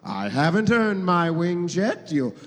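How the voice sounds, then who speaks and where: A man playing a kindly, innocent old guardian angel in a live radio play, fussy and gentle, with a stammer. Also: high-pitched, big pitch swings, a little slow, full character voice. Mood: earnest. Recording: hall reverb of live stage.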